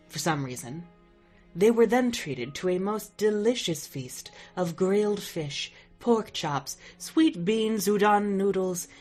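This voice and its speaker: A woman's voice: low-pitched, a little mellow. A woman narrating parts of a fan audio drama in a dry, matter-of-fact way.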